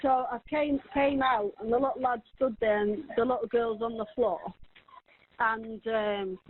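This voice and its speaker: A woman making an emergency phone call, halting and hesitant with many fillers. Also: a little high, steady tone, slightly rough. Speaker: emergency caller. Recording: tinny phone line.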